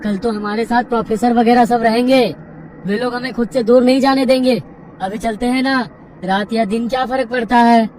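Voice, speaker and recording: A man voicing a bold, adventurous young male college student in an animated horror story, speaking in an exclamatory, lively manner. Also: extremely high pitch, normal speed, recorded from a distance, a little hiss or hum, pitched above the performer's own voice. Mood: eager.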